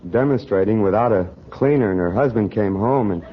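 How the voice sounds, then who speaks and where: A man's voice: lowish voice, fairly quick, muffled recording. An American man as a quiz contestant, telling anecdotes in a hesitant, unassuming way on a vintage radio broadcast. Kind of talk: casual anecdotes in an interview. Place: radio studio.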